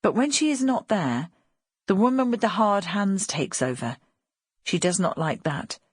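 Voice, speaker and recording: A woman's voice: medium pitch, animated, a little slow, breathy edge, dry recording, studio quality. A woman reading a dramatic novel as scripted audiobook narration.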